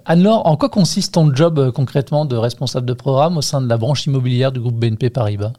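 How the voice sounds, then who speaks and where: A man's voice: mid-range voice, fast, dark tone. A man hosting a podcast, speaking in an articulate, structured but informal way. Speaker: podcast host and interviewer. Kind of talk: podcast interviewing and presenting.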